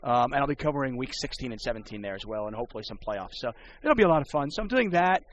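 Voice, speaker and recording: A man's voice: medium pitch, animated, very fast, dull audio. A man who works as a poker and sports broadcaster, chatting casually on a podcast and rambling with many restarts.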